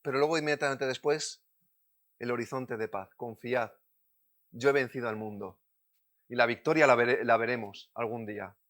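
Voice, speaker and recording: A man from Madrid with a Madrid Spanish accent, preaching a sermon, moving between loud urgent points and quiet, close asides. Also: medium pitch, fairly even intonation, moderate pace. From Spain.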